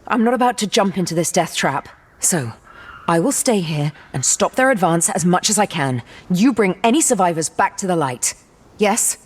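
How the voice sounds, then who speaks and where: A woman's voice: lowish voice, expressive, normal speed. An actress playing a steadfast, brave warrior woman, speaking firmly and resolutely in a game cutscene. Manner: firm, resolute. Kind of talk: video game cutscene voice acting.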